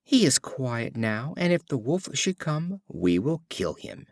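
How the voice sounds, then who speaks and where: A man's voice: medium pitch, very animated, measured pace, creaky, no room sound, clean studio sound. A man voicing a firm, protective cottage mother talking to a child in a storybook fable. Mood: fond reassurance. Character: cottage mother, scolding then fondly protective.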